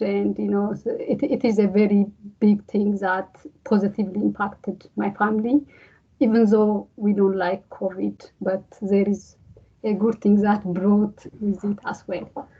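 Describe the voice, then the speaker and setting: A woman's voice: mid-range voice, mellow, slightly rough. Hesitant woman from Ethiopia on a call. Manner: hesitant, many fillers and restarts. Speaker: course participant. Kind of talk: casual self-introduction on a video call. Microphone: video call. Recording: dull lo-fi call audio.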